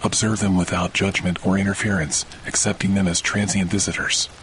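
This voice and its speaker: A man narrating a slow, scripted guided sleep meditation in a calm, measured way. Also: sits low, a little hiss or hum, poor audio. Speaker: meditation podcast host. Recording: rainstorm and thunder under the voice. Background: steady rain and thunder.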